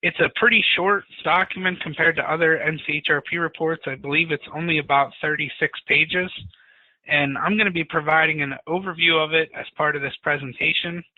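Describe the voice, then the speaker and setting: Man, fairly high voice, informal even-toned webinar presenter, tinny dry line. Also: a little fast, no echo. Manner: informal, self-described monotonous. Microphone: webinar call audio. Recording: tinny phone-like webinar audio.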